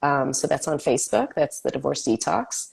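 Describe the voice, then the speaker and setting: Low-voiced woman with a cold. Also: animated, moderate pace, gravelly, husky. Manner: upbeat, promotional. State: has a cold, sniffling. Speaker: health coach, guest. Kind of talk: casual interview chat.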